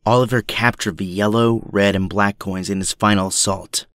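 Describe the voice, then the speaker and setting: A man's voice: fairly low pitch, steady tone, normal speed, fairly bright tone, no echo, studio quality. A man playing an older, weary but principled resistance captain in an audio drama, grave and commanding.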